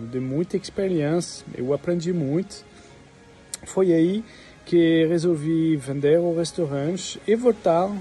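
Slow French-accented man, halting. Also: fairly high pitch, warm tone. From France. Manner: slow and halting, with frequent "eh" pauses. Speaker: private chef. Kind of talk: personal story to camera. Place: outdoors by a stone wall with plants. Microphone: phone held at arm's length, selfie framing.

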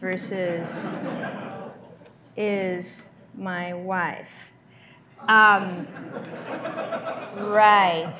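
A woman's voice: fairly low pitch, little pitch movement, very slow, pauses often, background noise. Brazilian woman presenting at a conference. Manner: conversational, explanatory, frequent ums. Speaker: conference keynote speaker. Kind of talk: live demo presentation. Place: large lecture hall.

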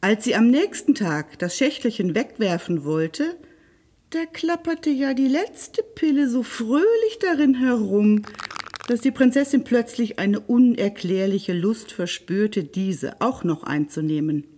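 A woman hosting and reading stories for children, expressive and playful, speaking directly to the listener. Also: a little high, a little slow. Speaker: library storyteller and host.

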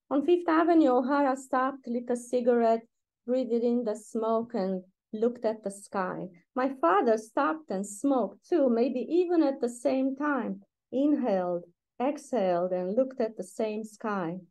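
A middle-aged woman from Romania with a Romanian accent, reading her poetry aloud at a deliberate pace over a video call from home and adding short asides between poems. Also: on the high side, warm tone. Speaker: poet. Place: room at home, indoors.

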